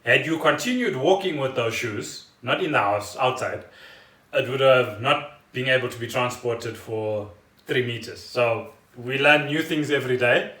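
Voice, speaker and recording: A man's voice: mid-range voice, normal speed, sharp tone. A South African man co-hosting a podcast in casual chat, explaining things in a rambling way. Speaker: podcast co-host. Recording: echoey room, distant mic.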